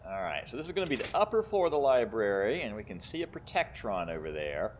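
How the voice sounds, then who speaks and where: A man's voice: fairly low pitch, big pitch swings, fast, a little hiss or hum. A man giving casual video game commentary, thinking aloud and explaining as he plays. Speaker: gamer playing and commentating.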